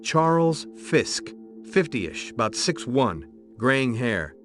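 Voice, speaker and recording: A man's voice: medium pitch, sing-song, slow pace. A man acting a tough, exasperated, wisecracking private investigator in a video game scene, blunt and impatient. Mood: demanding, exasperated. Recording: game music behind.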